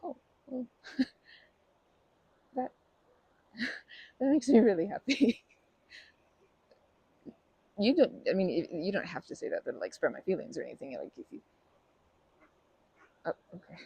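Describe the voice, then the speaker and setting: Woman acting timid, breathy, flustered young woman. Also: medium pitch, big pitch swings, measured pace, pauses often, mellow, full character voice. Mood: touched, grateful. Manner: stammering, self-deprecating, rambling with nervous giggles. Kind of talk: ASMR comfort roleplay, conversational acting.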